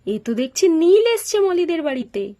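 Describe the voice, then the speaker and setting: A woman's voice: extremely high pitch, very animated, normal speed, mellow. A woman storyteller narrating a children's doll story.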